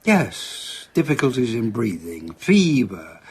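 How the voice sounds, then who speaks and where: An actor playing an earnest, proud doctor in a radio drama, speaking formally and emphatically. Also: male voice, mid-range voice, sing-song, takes it slowly, darkish tone, a little hiss or hum. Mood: solemn recounting. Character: earnest foreign male doctor, proud of his reputation.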